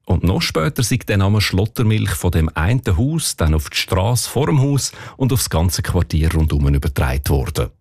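A man with a Swiss German accent presenting a scripted radio feature on word origins, in a measured, explanatory way. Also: deep voice, very animated, darkish tone, no echo, studio quality. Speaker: dialect expert on radio.

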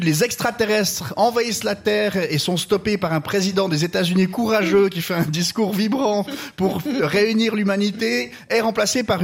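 A man comedian on a panel show, telling a made-up story with brisk, mocking asides. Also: sits high. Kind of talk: comic storytelling.

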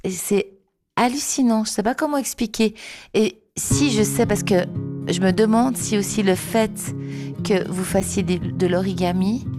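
A woman's voice: medium pitch, measured pace, dark tone, soft background music. A woman interviewer holding out her microphone, admiring and searching for her words as she asks questions. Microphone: handheld mic she holds out.

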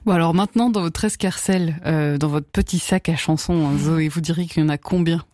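Female radio host, lowish voice, playful questions, dry studio sound. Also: steady tone, fairly quick, warm tone, dry recording. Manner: light, playful questions, laughs easily. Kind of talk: casual radio interview.

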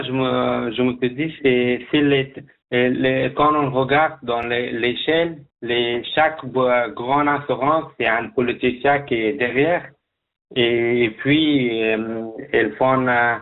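A man from Afghanistan, speaking as a guest in a radio interview, halting, with many restarts. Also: medium pitch, phone-quality sound. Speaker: guest job seeker.

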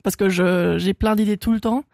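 A woman's voice: medium pitch, fairly even intonation, moderate pace, creaky, a little mellow, no echo. A young woman from Switzerland, German her first language, chatting as a singer-songwriter guest in a radio studio, laughing often and talking with many fillers and restarts. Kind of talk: casual radio interview.

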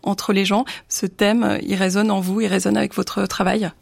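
A woman radio host interviewing a guest and reading the weather, brisk and clear. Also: medium pitch, level tone, dry recording. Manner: brisk, clear presenter delivery. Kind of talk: radio culture segment and news.

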